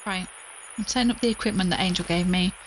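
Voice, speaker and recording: An actress plays a tired, anxious woman who keeps pushing on, recording an audio-drama field log. Her voice sits a little low for a woman. Crickets and night noise are clearly heard around her. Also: quick pace, close to an everyday voice, low-quality recording. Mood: tired, uneasy.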